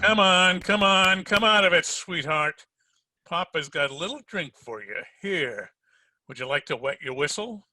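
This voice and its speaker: Man playing a bright, wisecracking private eye. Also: high-pitched, expressive, measured pace, no room sound, full character voice. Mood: mocking. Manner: hard-boiled, sardonic, slangy. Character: tough wisecracking male private detective. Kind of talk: 1940s radio detective drama acting and narration.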